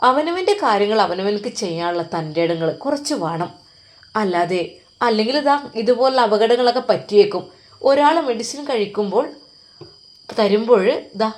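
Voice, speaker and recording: A woman's voice: mid-range voice, natural voice. A woman voicing a hospital doctor who lectures a young patient at length, firm and advising. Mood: lecturing.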